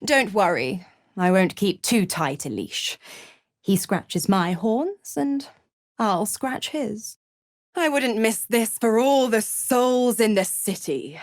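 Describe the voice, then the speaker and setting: A woman's voice: medium pitch, expressive, slow pace, dry recording, studio quality. An actress playing a seductive, scheming female devil in a video game, with a teasing, sardonic, theatrical and condescending drawl. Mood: playful taunting, then sultry teasing. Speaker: video game character actor.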